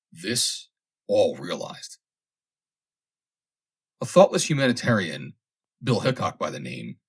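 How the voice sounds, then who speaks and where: A man reads a comic vintage story aloud as scripted narration, in a measured, dramatic storytelling manner with deliberate pauses. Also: sits low, slightly rough. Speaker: audiobook reader.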